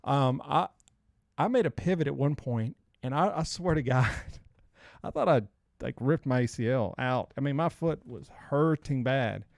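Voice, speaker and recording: A man's voice: medium pitch, expressive, measured pace, gravelly, no echo. Informal man in his forties, dry close sound. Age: 40s. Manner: informal, slangy, rambling. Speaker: podcast co-host. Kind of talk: casual banter and storytelling.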